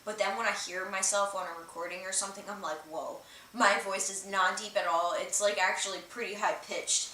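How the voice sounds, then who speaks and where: A woman's voice: medium pitch, steady tone, brisk, hardly any pauses, sharp tone. A woman hosting a livestream, chatting casually and explaining things in a rambling, hesitant, informal way. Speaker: livestream host. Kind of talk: casual livestream chat, explaining and reading out viewer comments. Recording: roomy, distant mic with faint hiss.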